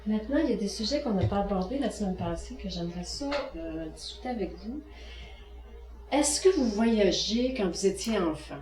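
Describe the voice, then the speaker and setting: A woman's voice: medium pitch, expressive, moderate pace, darkish tone. A woman, leading a group interview with polite questions. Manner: polite, brief thanks and prompting questions. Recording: echoey room.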